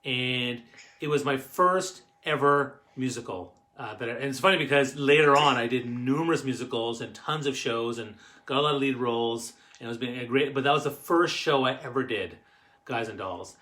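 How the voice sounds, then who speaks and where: A middle-aged Canadian man chats to camera as he hosts a family show. His voice is bright and forceful. He tells personal stories in a halting, rambling way, circling back as he goes. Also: mid-range voice. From Canadian Maritimes. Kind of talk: casual personal storytelling to camera.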